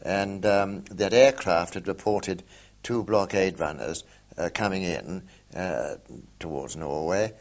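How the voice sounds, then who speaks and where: An older man, a war veteran, recalling events in an oral history interview, hesitant with many pauses and fillers. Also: deep voice. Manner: hesitant, many ums and uhs, measured. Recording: dull lo-fi recording.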